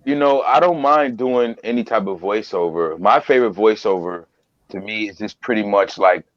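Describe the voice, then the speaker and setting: A man's voice: fairly low pitch, moderate pace, dry recording. A man talking casually as a guest, often repeating words and phrases for emphasis, on a lo-fi recording. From Trinidadian family. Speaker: guest, voice-over performer and business owner. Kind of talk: casual chat. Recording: dull lo-fi audio.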